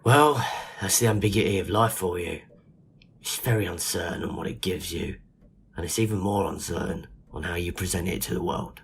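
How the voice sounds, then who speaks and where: A man's voice: low-pitched, moderate pace, vocal fry, a little hiss or hum. An actor playing a friendly, awkward, plain-spoken man in an audio drama. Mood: reflective. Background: music.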